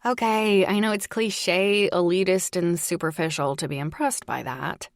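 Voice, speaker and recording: A woman's voice: medium pitch, normal speed, no echo, studio quality, close to an everyday voice. A woman voicing a dramatic, gushing young adult daughter in an audiobook, with an emphatic, youthful delivery. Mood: sheepish, hurried. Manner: whiny, enthusiastic, emphatic. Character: dramatic, gushing college-age daughter on the phone.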